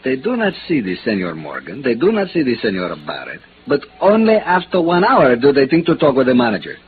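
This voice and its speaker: An actor playing a courteous, philosophical Panamanian police captain with a Latin American Spanish accent and halting English, in 1950s radio drama style. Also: male voice, medium pitch, wildly swooping pitch, husky, full character voice. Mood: exasperated, polite. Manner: courteous, emphatic, speaks halting broken English. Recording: muffled, noisy old radio recording.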